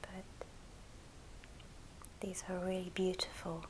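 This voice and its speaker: A woman whispering breathily and gently in an ASMR show and tell, leaving pauses between short phrases. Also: on the low side, completely flat delivery, slight background noise, poor audio. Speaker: ASMR video host.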